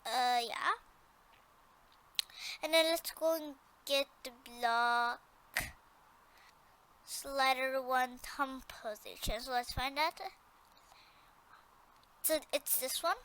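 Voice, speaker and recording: High-pitched woman giving a slow tutorial. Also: sharp tone, poor audio. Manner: hesitant, repeats instructions as she goes. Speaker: tutorial presenter. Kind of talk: informal step-by-step software tutorial.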